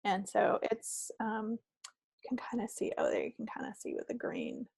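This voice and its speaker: A woman co-hosts a craft podcast with a noticeably creaky, fry-edged voice at an average female pitch. She chats casually and rambles a little from point to point. The recording is dry and free of room echo. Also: wildly swooping pitch, normal speed, darkish tone. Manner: chatty, rambling. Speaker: co-host of a knitting podcast. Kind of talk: casual, unscripted craft chat.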